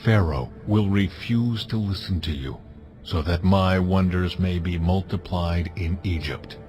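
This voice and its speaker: A man playing God in a dramatized audio Bible, solemn and authoritative as he delivers measured commands. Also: low voice, a little mellow. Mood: solemn, commanding. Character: God, solemn commanding male deity giving laws. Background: music.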